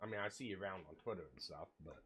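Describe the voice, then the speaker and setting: A man's voice: deep voice, expressive, racing, a bit bright, husky. A man streaming live, chatting casually while sick with a cold and cough, rambling with many fillers and the odd swear word. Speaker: livestreamer. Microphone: desk microphone.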